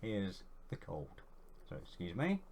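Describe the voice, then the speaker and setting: A man's voice: low-pitched, huge pitch swings, slow, a little mellow, slight background noise. Congested man, chatty and rambling. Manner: rambling, chatty, self-deprecating. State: congested with a cold. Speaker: YouTuber. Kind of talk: casual how-to vlog.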